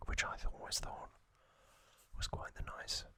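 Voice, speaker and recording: A man whispering an ASMR recap as host, in a hushed, deliberate way with short phrases and gaps. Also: no echo.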